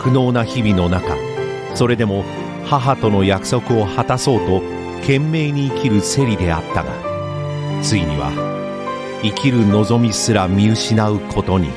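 A man narrating a dramatic scripted trailer in a solemn, deliberate manner. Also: fairly low pitch, expressive. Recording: loud music under the voice.

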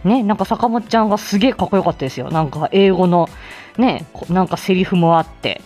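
A woman's voice: on the low side, fast, a little mellow, soft background music. A woman hosting a casual solo morning show, chatting to listeners in a hesitant, thinking-aloud way. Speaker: host of a morning audio show.

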